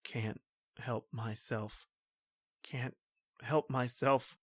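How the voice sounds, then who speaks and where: A woman's voice: a bass voice, fairly even intonation, very slow, no room sound, clean studio sound, full character voice. A woman playing a stoic, protective male police officer in an old-time radio horror drama, brusque and melodramatic. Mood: entranced, strained.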